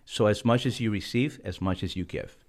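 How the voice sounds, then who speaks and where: A man's voice: fairly low pitch, brisk. A forty-year-old American man chatting casually as a podcast guest. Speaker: podcast guest, political candidate. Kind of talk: casual conversational talk.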